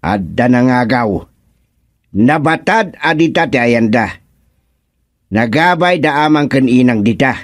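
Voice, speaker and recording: An actor playing a bewildered, stubborn village father with an Ilocano Filipino accent, in drawn-out, exclamatory radio comedy-drama style. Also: medium pitch, lively intonation, no room sound, studio quality. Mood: alarmed, bewildered.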